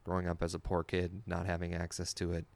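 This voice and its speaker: A man's voice: deep voice, completely flat delivery, fast talker, vocal fry. A man in his thirties hosting a podcast, talking in a casual, plainspoken, conversational way. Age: 30s. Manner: informal, plainspoken. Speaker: podcast host.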